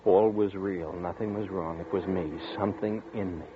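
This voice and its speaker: A man's voice: sits low, fairly even intonation, moderate pace, narrow, tinny audio, natural voice. An actor playing a frightened young man who narrates and stammers nervously, in 1940s radio style. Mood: tense narration. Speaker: narrator and lead. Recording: scratchy 1940s radio broadcast.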